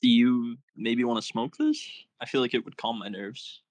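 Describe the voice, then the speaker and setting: Casting: male actor as awkward, earnest teen nerd, mid-pitched, recorded dry. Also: moderate pace, no echo, lightly acted. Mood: nervous. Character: nervous, apologetic nerdy teenage boy. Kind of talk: film script table read.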